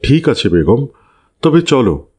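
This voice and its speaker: A man's voice: mid-range voice, big pitch swings, takes it slowly, noisy background. A man playing a proud, skeptical, stubborn landlord and father in a cartoon, speaking firmly. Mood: resigned. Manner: firm, indignant. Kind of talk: dramatized cartoon dialogue.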